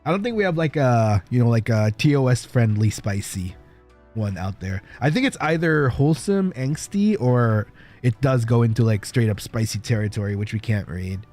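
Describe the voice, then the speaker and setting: A man livestreaming in a playful, casual way, chatting and reading stories aloud with a husky voice. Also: medium pitch, animated, normal speed, a little mellow. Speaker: livestreamer, virtual streamer. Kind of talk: casual livestream chat and fan fiction reading. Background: background music.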